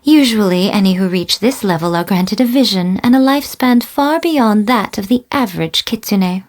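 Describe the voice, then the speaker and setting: Woman voicing a smug fox spirit shrine priestess for a game, playful n teasing, kinda posh and haughty, mid pitch. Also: natural voice. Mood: proud, composed. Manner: playful, smug, refined, theatrical delivery. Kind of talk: scripted video game character voice lines.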